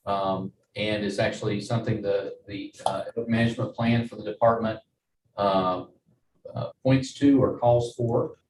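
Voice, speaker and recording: A man giving a formal staff presentation at a meeting, in a halting, measured way. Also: on the low side, fairly even intonation. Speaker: wildlife agency staff presenter. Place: meeting room. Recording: roomy, distant mic, faint noise.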